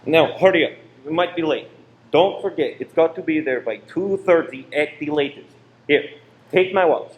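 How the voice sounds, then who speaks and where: A man's voice: on the high side, normal speed, close to an everyday voice. Male actor as secretive, controlling cinema owner in radio drama; insistent delivery, echoey room, faint noise. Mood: urgent coaxing. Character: tense, secretive married man running a cinema saboteur scheme. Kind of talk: amateur radio play acting. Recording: roomy echo with faint noise.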